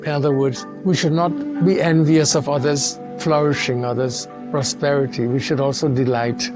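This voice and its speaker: A man from Trinidad and Tobago, a theologian speaking as an interview guest in a measured, thoughtful way with pauses and restarts. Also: medium pitch, steady tone, music in the background. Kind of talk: reflective interview conversation.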